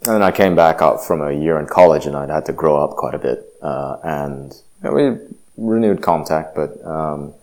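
A man's voice: very deep voice, moderate pace, a little mellow. A man giving a reflective personal testimony, hesitant and full of fillers and restarts. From grew up partly in Indonesia.

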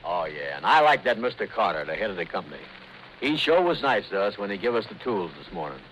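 A man playing a slow-witted, amiable working man in an old radio comedy, folksy, hesitant and deferential. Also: lowish voice, very animated, fairly bright tone, muffled recording. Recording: noisy vintage radio recording with clicks.

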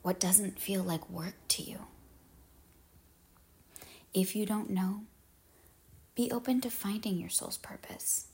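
A woman leading a guided meditation in measured, solemn phrases with pauses, her husky voice trailing into breathiness. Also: medium pitch, darkish tone.

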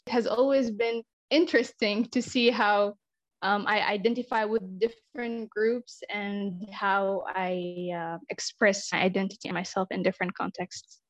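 A young woman from Israel, a podcast guest who explains things in a measured way. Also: mid-range voice, fairly even intonation, dry recording. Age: young adult. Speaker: interview guest. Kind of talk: conversational interview.

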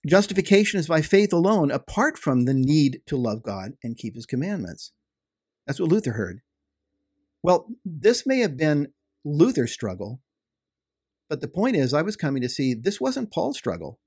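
A man's voice: on the high side, very animated, moderate pace, darkish tone, slightly rough. A man co-hosting a podcast, earnestly explaining theology and restating his points for emphasis. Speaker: podcast co-host. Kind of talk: theological conversation.

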